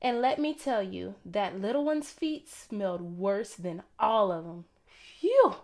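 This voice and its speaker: A woman's voice: medium pitch, big pitch swings, takes it slowly, darkish tone. Sassy, emphatic talking dog played by a woman. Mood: indignant. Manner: indignant, wisecracking, emphatic. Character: sassy, boastful male talking family dog narrating a storybook.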